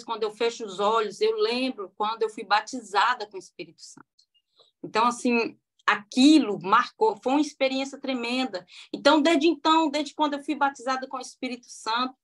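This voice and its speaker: A woman's voice: a little high, a bit bright. A woman in her forties, a podcast guest, sharing a faith testimony in a hesitant, heartfelt way with many restarts. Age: 40s. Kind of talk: testimony in a casual interview.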